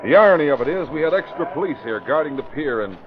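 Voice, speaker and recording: A man's voice: a little high, expressive, fast talker, crowd noise, natural voice. A man playing an earnest, flustered police commissioner in an old radio drama, speaking in 1940s radio acting style. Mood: dismayed. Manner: earnest, dismayed. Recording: muffled old radio broadcast with faint hiss.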